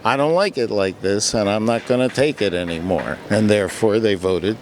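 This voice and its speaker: A man's voice: lowish voice, normal speed. An older man with an American accent, giving his views in a street interview. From United States. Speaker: interviewee, retired scientist. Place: outdoors on a London street. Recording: clear urban street noise.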